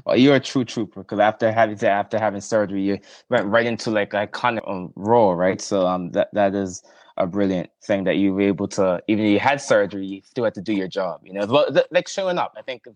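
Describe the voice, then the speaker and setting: A man with a fairly low voice hosting a podcast interview, affirming his guest often. The sound is dry and close to the mic. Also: fast, no room sound, highs cut off. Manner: friendly, affirming, frequent "okay" and "yes", laughs often. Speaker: podcast host. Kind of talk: casual interview chat.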